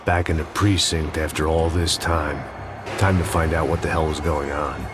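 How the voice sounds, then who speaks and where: A burnt out ex-cop narrating, super deep and cynical, music under it. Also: male voice, steady tone, moderate pace. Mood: weary. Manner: cynical, world-weary, sardonic. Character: weary hard-boiled male ex-cop. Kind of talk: noir voice-over narration.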